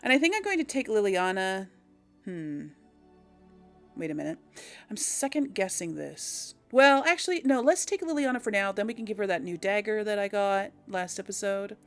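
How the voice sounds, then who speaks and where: A woman's voice: medium pitch, animated, normal speed, faint music behind. A woman giving casual let's play commentary, chatting to her viewers and thinking aloud as she hosts. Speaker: host of a video game role-play series.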